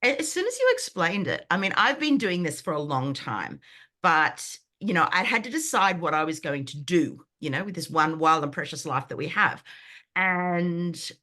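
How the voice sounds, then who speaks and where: Croaky woman in her fifties, lively. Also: fairly low pitch, normal speed. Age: 50s. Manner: chatty, enthusiastic, many fillers and restarts. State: croaky from a sinus infection. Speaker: brand foundations coach. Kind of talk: guest on an online group call. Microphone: video call.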